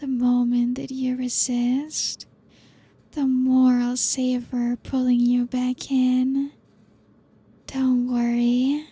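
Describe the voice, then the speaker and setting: A woman voicing a possessive, seductive female vampire in an ASMR roleplay, speaking softly and slowly as she dotes and commands. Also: sits high, breathy edge, exaggerated voice. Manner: slow, soft, doting and controlling.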